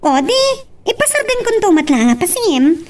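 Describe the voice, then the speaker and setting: Woman acting a jealous young wife, very high-pitched Filipino voice. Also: very animated, moderate pace. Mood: suspicious, pleading. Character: jealous, suspicious young wife confiding in her father. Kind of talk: Ilocano radio-style drama acting.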